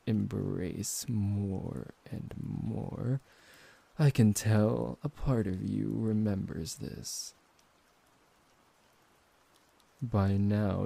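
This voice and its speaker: A man playing a possessive, seductive vampire professor in an intimate ASMR roleplay, teasing and dominant, with a gravelly, husky voice. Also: on the low side, full character voice. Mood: smug, seductive. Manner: slow, hushed, coaxing, condescendingly affectionate.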